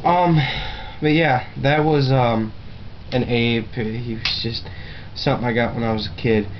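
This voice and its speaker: A man chatting casually to camera as an amateur video blogger, rambling and halting, with a self-deprecating manner. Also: mid-range voice, steady tone. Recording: dull lo-fi webcam-style sound, faint noise.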